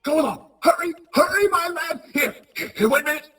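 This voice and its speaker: A woman playing an excitable, repentant old male miser in a radio play, stammering and exclaiming. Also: high voice, a little fast. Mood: giddy, overjoyed. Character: frightened old male miser, desperately repentant, then giddy.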